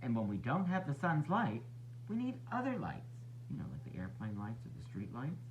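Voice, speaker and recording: A man narrating a children's storybook as a teacher would, expressive and instructive for young listeners. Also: medium pitch, moderate pace, muffled recording, noisy background. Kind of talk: children's read-aloud narration.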